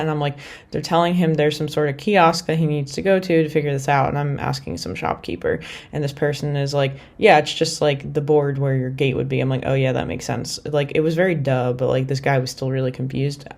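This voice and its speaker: A woman's voice: low voice, a little mellow. A young woman from the United States rambling casually in a travel vlog, full of fillers like "like" and "you know". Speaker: vlogger.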